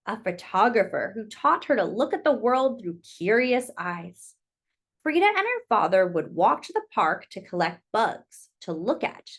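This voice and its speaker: A woman's voice: medium pitch, fairly bright tone. A woman reading a picture book aloud to young children, expressively and with little asides to her listeners.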